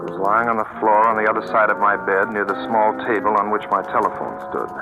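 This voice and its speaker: Guy acting a sardonic old-time radio detective, low voice, jaunty n wordy, music behind him. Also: steady tone, fast talker, dull audio, lightly acted. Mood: dry, uneasy. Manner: sardonic, verbose, dryly formal. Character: wry, jaunty male sleuth narrating his own story. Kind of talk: 1940s radio thriller acting and first-person narration.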